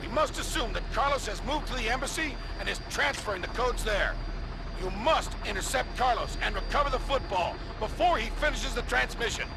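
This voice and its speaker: A stern military commander delivers urgent game briefings. The voice is strikingly high with a gravelly edge, and orders come clipped and authoritative over clear helicopter and vehicle noise. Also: male voice, expressive, a little fast, heavily acted. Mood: urgent, serious. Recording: noisy, with helicopter and vehicle din.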